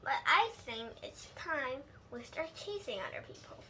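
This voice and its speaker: Woman reading a whiny little schoolboy, high voice. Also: very animated, a little slow, pushed and bright, a little hiss or hum, exaggerated voice. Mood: matter-of-fact. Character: young schoolboy, whiny reluctant boyfriend.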